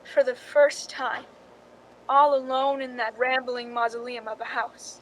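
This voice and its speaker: A woman's voice: steady tone, a little slow. A woman playing a cool, calculating young Hollywood stand-in who tells her story drily in a suspense radio drama. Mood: wry, confiding. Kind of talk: 1940s-style suspense radio drama acting. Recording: noisy recording with low rumble.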